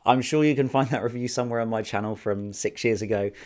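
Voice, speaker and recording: A man reviewing an episode in a casual, enthusiastic and chatty way, sounding hoarse from a cold. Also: mid-range voice, fast, darkish tone. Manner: enthusiastic, chatty, many fillers. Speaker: video reviewer.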